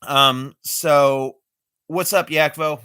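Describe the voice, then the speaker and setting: A man's voice: on the high side, fairly even intonation, extremely slow, pushed and bright, smooth, no echo. A man chatting casually on a live stream, explaining his magic tricks step by step. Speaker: amateur magician.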